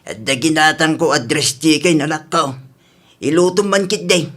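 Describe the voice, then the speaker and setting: A man playing a worried, thrifty old father in a radio drama, questioning and gently reproving with an Ilocano accent. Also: on the high side, normal speed. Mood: earnest, defensive. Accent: Filipino (Ilocano). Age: older.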